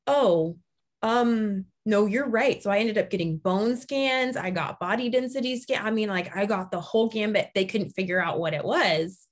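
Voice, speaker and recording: A woman's voice: medium pitch, moderate pace. A woman in her forties hosting a casual group chat over a video call, telling stories and acting out what people said. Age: 40s. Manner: chatty, animated storyteller who acts out quoted people. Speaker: podcast host. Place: home room, indoors.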